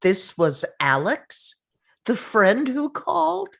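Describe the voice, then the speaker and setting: Woman acting a high-voiced, anguished, confrontational woman. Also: big pitch swings, gravelly, fairly bright tone, full character voice. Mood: probing, incredulous. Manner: pressing, halting, interrupting. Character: anguished, confrontational woman demanding answers from a grieving couple. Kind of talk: dramatic table read.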